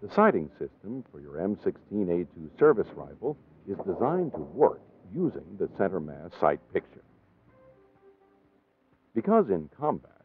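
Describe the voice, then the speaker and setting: A man with an American accent reading scripted training-film narration in a measured, formal, precisely enunciated way. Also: low-pitched, narrow, tinny audio, a little hiss or hum.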